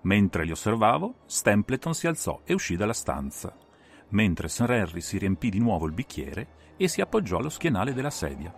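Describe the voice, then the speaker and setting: Animated, gravelly man narrating dramatically. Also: lowish voice, normal speed. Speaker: audiobook narrator. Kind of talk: dramatic scripted first-person narration of a mystery. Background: atmospheric music.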